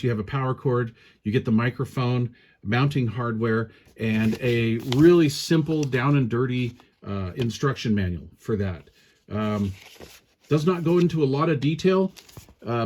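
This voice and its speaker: A man hosts a hands-on gear review in a mid-pitched voice. His way of talking is folksy and informal, with plenty of colloquial turns. He narrates casually, like a hobbyist showing equipment to viewers. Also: moderate pace, darkish tone, slight background noise. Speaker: product reviewer, channel host.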